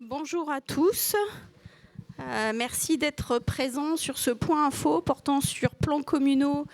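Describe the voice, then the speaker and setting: A woman moderating a conference session in a semi-formal, somewhat halting way. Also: high-pitched, steady tone. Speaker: moderator opening a panel session.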